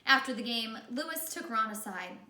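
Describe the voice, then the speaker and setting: A woman reading a children's story aloud in the animated style of a teacher. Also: a little high, moderate pace, recorded from a distance. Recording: echoey room.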